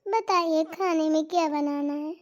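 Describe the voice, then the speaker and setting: A woman's voice: high voice, steady tone, unhurried. A woman voicing a polite, dutiful young daughter-in-law in a cartoon. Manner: polite, explaining, deferential. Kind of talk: cartoon voice acting.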